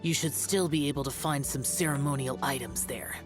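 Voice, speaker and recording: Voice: medium pitch, fairly quick, lightly acted. A stern young immortal warrior from a video game, played by an actor, solemn and aloof. The speech is formal and terse, with music behind it. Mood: solemn, instructive. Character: stern, aloof, ageless young male demon-slaying guardian.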